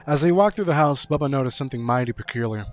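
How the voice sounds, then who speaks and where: A man's voice: medium pitch, expressive, pitched above the performer's own voice, natural voice. Man reading as a folksy yarn-spinner over faint music. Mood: folksy, animated. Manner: folksy, playful, drawn-out similes. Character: folksy rural storyteller spinning a tall spooky yarn. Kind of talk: storytelling read aloud.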